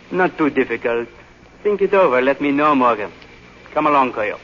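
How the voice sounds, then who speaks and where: An actor playing a smooth, menacing secret police inspector, formal and deliberate, in a 1940s radio drama. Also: male voice, mid-range voice, lively intonation, a bit bright. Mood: insinuating, menacing. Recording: dull, noisy 1940s radio recording.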